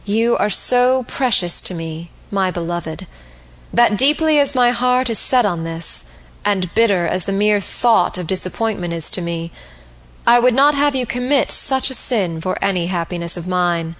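A woman's voice: mid-range voice, expressive, unhurried, close to an everyday voice, rough recording. A woman reading the part of an older baronet proposing marriage, speaking solemnly and earnestly.

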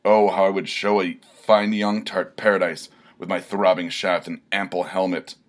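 A man voicing a bombastic, lecherous barbarian swordsman who booms out grand, self-pitying speeches. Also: flat delivery, normal speed, natural voice. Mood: lusty boasting. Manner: booming, theatrical bellowing.

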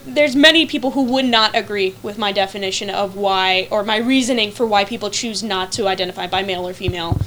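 Rambling young woman, higher-pitched. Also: fairly bright tone, a little hiss or hum. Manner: thoughtful, rambling, with many fillers. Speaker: podcast co-host. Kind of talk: casual podcast chat.

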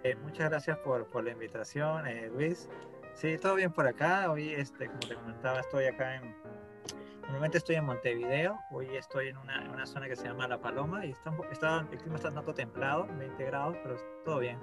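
A man's voice: mid-range voice, fairly quick, over music. A man from Venezuela with a Venezuelan accent, a guest on a podcast chatting casually and hesitantly, often repeating words. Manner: hesitant, many "este" fillers and repeats. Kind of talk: casual interview chat.

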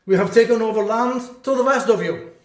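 A man's voice: sits high, brisk, close to an everyday voice. A man playing a haughty great imperial power in a radio play, speaking in a formal, disapproving way. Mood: haughty, guarded. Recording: roomy, echoing recording.

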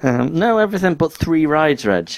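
A man's voice: on the high side, big pitch swings, normal speed, vocal fry, no room sound. A man with a northern English accent, co-hosting a casual podcast chat in a rambling, tired, self-deprecating way. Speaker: podcast co-host.

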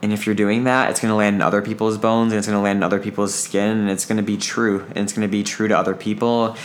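A man's voice: lowish voice, little pitch movement, fast. Earnest man in his twenties. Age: 20s. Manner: earnest, reflective, repeats phrases. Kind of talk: casual podcast conversation.

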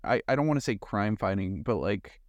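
A man's voice: lowish voice, animated, brisk, no room sound, lo-fi sound. A man co-hosting a casual chat, giving his opinions in an informal, agreeable, conversational way. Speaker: podcast co-host.

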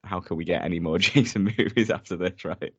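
A man co-hosting a podcast, sick with a rough voice that is deeper than usual, laying out facts steadily. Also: brisk, no echo, dull audio. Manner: steady, presents facts and synopsis methodically. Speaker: podcast co-host. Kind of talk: casual chat.

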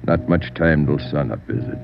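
Tough police chief barking terse orders, low voice, old muffled radio drama. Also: male voice, normal speed, creaky. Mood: stern, grim. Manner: terse, authoritative, giving orders. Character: hard-nosed, commanding male police chief. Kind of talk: 1950s radio crime drama acting. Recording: muffled vintage radio recording, faint music.

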